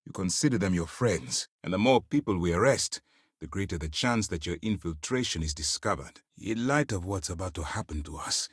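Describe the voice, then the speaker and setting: An actor playing a stern, principled space navy commander in a video game, speaking in a formal, deliberate, authoritative way. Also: male voice, low-pitched, expressive, breathy edge, dry recording, clean studio sound. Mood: measured, grave. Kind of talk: scripted dramatic game dialogue.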